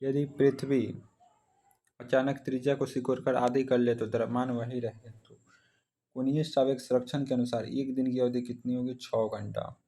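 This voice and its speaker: A man's voice: mid-range voice, little pitch movement, moderate pace, warm tone. An Indian man teaching exam practice, reading out questions and following each with a short answer.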